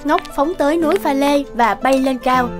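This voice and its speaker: A woman's voice: sits high, normal speed, music in the background, close to an everyday voice. A woman working as the scripted narrator of a cartoon fairy tale, telling the story. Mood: storytelling.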